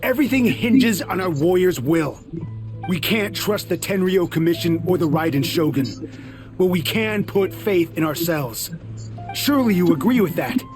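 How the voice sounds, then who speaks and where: An actor playing an embittered young resistance soldier in a video game, intense and defiant, haunted by fallen comrades. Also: male voice, high-pitched, expressive, normal speed, music in the background. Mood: zealous.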